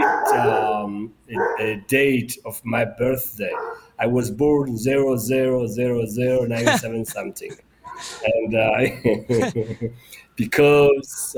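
Ethiopian-accented male guest, 40s, Amharic native, unhurried and halting. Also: medium pitch, a little hiss or hum. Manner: halting, many fillers and restarts. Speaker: guest journalist and author. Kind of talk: remote interview.

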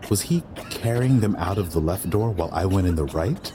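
A man's voice: low voice, expressive, fairly quick, noisy background. A man playing a guilt-ridden, self-doubting young waiter with secret powers in an audio drama, brooding and hesitant. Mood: bitter, frustrated. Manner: brooding, rueful, hesitant with others. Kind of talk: audio drama acting with inner monologue.

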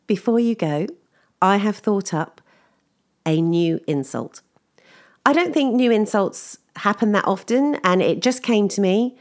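Croaky chatty woman vlogger. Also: medium pitch, lively intonation, unhurried. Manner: chatty, self-deprecating, laughs while telling stories. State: slightly croaky from lack of sleep. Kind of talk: casual chatty monologue.

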